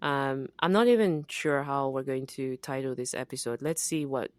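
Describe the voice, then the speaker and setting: A young adult woman from Zambia co-hosting a podcast, talking in a relaxed, reflective way with frequent fillers and laughs. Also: a bass voice, moderate pace, no echo. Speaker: podcast co-host. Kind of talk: casual conversational podcast.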